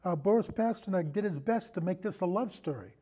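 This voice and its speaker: A man in a casual film discussion, telling anecdotes in a halting, rambling way and often repeating his phrases. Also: high-pitched, animated, mellow. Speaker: podcast guest, film discussion.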